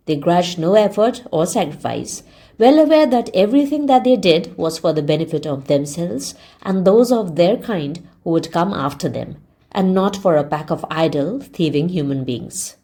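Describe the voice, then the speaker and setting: A woman reading a story aloud as a teacher would, in an expressive, deliberate and emphatic read-along style. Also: on the low side. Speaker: audiobook reader and English teacher.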